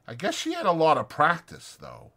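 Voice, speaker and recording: A man's voice: a little high, huge pitch swings, moderate pace, bright, forceful tone, voice pushed up, heavily acted. A man voicing an anxious, kindly old ranch hand with folksy rural speech in a dramatized audiobook. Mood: eager, folksy. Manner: folksy rural American dialect.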